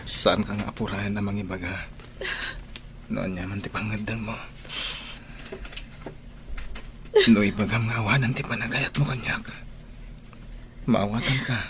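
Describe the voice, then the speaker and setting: Actor as low-voiced remorseful young father. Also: little pitch movement, normal speed, pauses often, background noise, lightly acted. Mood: humble, pleading. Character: remorseful young father pleading for a second chance. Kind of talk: Ilocano radio drama acting. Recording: music bed behind the voice.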